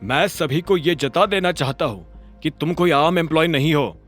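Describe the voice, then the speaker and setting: A man's voice: on the high side, lively intonation, normal speed, a bit bright, faint music behind. A man voicing an urgent male boss who presses and commands. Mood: pained.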